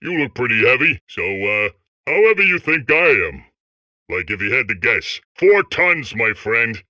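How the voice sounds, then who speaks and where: A man's voice: medium pitch, very animated, unhurried, bright, forceful tone, no room sound, exaggerated voice. A man voicing a chummy, bragging heavyweight robot in a comedy game, with casual phrasing and dull, lo-fi sound. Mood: chummy, boastful. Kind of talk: comedic video game character acting.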